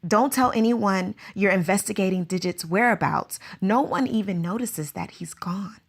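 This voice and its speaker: A woman's voice: medium pitch, creaky, slightly rough. A woman playing an anxious, earnest circus teacher in a radio drama, asking question after question.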